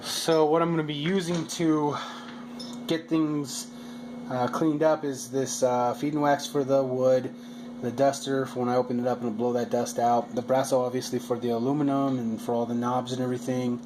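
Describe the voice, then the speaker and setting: A man with an average-pitched voice talks through a hobby project like a home vlogger. His delivery is casual and halting, with words repeated as he feels his way along. Noticeable background noise runs behind him. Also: little pitch movement. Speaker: hobbyist video maker. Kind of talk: casual narrated project vlog.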